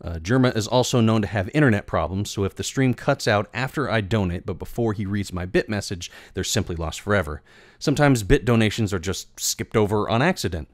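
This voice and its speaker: A man narrating a scripted explainer about his own work in a measured, articulate way. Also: fairly low pitch, no room sound, studio quality. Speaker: video creator and narrator.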